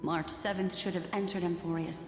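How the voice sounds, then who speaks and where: A woman's voice: lowish voice, steady tone, normal speed, close to an everyday voice. An actress playing a serious, analytical female companion in a video game, composed and questioning. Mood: pensive, questioning. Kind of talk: video game story voice acting. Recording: echoey hall reverb under clear music.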